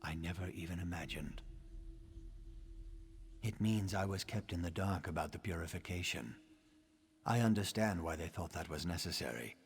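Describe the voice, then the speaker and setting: An actor playing a brooding male assassin in a video game, speaking in a grave, deliberate and articulate way. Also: low-pitched, slight background noise. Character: brooding, deep-voiced male assassin investigating a betrayal. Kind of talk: dramatic video game acting.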